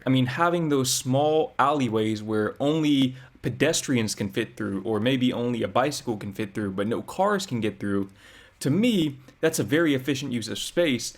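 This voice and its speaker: A man talking through his thoughts as a reaction vlogger, reflective and conversational. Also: mid-range voice. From United States. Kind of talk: casual commentary.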